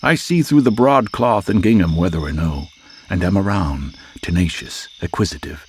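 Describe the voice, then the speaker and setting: A man reciting poetry dramatically as a voice artist, with measured, declamatory delivery and deliberate pauses between phrases. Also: sits low, animated, a little hiss or hum. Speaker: voice artist reading poetry aloud. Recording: music and nature soundscape behind voice. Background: music, chirping insect sounds.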